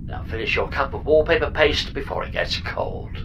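A woman's voice: low voice, big pitch swings, moderate pace, vocal fry, dull audio, noisy background, heavily acted. A woman playing a chatty, flippant and reckless spaceship pod computer in a comedy audio drama, talking in a breezy, mock-grand theatrical way. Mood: theatrically reckless.

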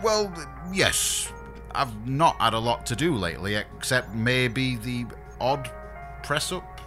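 An actor plays a bashful space engineer in an audio comedy. He speaks slowly and hesitantly in a bright, animated voice, tripping over apologies. Light music runs underneath. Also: medium pitch. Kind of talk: scripted audio comedy sci-fi drama.

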